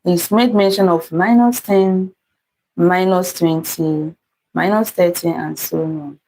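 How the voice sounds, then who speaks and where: A woman's voice: lowish voice, steady tone, dark tone, poor audio. A woman with a Nigerian accent hosting an interactive math puzzle live stream, reading out comments and greeting viewers by name. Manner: unhurried, repeats greetings and thanks to viewers by name.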